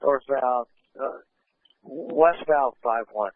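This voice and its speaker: Man on narrow-band police radio, halting. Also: mid-range voice, dry recording. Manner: halting, clipped location call-outs. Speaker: police officer calling a vehicle pursuit. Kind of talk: live police radio pursuit updates.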